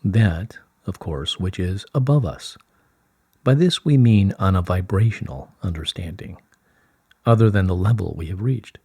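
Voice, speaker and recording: Serene deep-voiced light being, read by a man. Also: expressive, darkish tone, slightly rough, no echo, studio quality, full character voice. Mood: serene, explanatory. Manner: measured, stately, archaic formal phrasing. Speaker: reader of channelled messages. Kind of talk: formal, scripted channelled-message reading.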